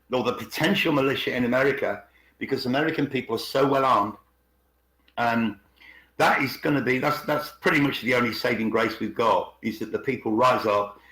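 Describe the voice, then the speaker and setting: A man's voice: mid-range voice, a bit bright. A middle-aged man in casual, opinionated discussion on an online call, rephrasing himself emphatically as he goes. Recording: roomy, echoey online call.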